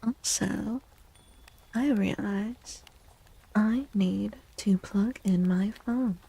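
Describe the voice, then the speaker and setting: A soft-spoken woman streaming ASMR, talking gently in a husky, breathy voice that often drifts into whispers, with playful, quaintly formal wording. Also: mid-range voice, extremely slow, rough recording. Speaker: live streamer and tarot reader.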